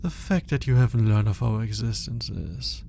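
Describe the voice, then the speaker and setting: A man playing a cold, condescending alien scientist in an ASMR roleplay, speaking in a clinical, formal, deliberate way. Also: lowish voice, creaky, mellow, a little hiss or hum. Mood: aloof, explaining. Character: cold, aloof male alien scientist studying a human captive.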